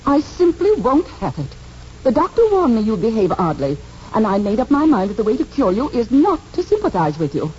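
A woman's voice: on the high side, very animated, fairly quick, a little mellow. An actress playing a self-centred, fussy, domineering middle-aged mother in a 1940s radio drama, gushing and dramatic. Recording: muffled, noisy 1940s radio recording.

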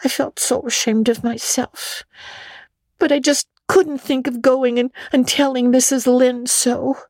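Storybook young girl: high, husky-edged, earnest, imaginative, stumbling over her words. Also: little pitch movement, a little mellow, dry recording. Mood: earnest, ashamed. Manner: halting, repeats words for emphasis.

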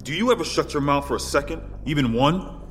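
An actor playing the exasperated, tough peacemaker of a criminal crew, with dry sarcasm, in a sci-fi audio drama. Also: male voice, a little high, expressive, brisk, slight background noise, close to an everyday voice. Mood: bossy. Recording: reverberant sound, music behind.

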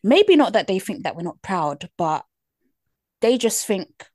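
A young woman with a British accent in casual podcast chat, earnest and animated, often checking "do you know what I mean". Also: mid-range voice, unhurried, no echo, clean studio sound.

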